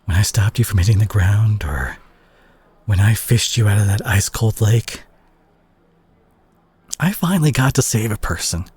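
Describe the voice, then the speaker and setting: Casting: male actor as tough brawler hero with a tender streak, very husky and breathy, widely swinging pitch, earnest audio-roleplay delivery, dry close sound. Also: expressive, darkish tone. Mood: impassioned, reproachful. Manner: earnest, emotional, halting delivery with sighs. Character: gruff brawler superhero, male, fiercely protective, guilt-ridden and tender. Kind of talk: dramatic intimate audio roleplay monologue.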